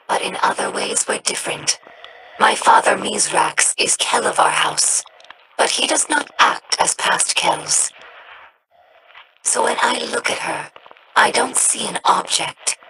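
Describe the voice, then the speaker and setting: Slow, creaky-voiced young alien scribe. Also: female voice, mid-range voice, noisy background. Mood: reflective. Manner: measured, formal, thoughtful phrasing. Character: earnest, scholarly young female alien scribe, gentle and hopeful. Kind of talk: video game character dialogue.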